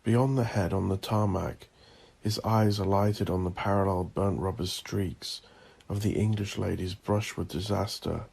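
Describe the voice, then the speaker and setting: Man, horror audio drama narrator, husky, gravelly, ominous, theatrical, deliberate delivery. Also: deep voice, fairly even intonation, a little mellow.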